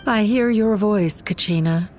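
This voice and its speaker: A woman voicing a gentle, nurturing ancient guardian spirit in a video game, with a tender, solemn manner. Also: medium pitch, measured pace, a little hiss or hum, natural voice. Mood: tender, reassuring.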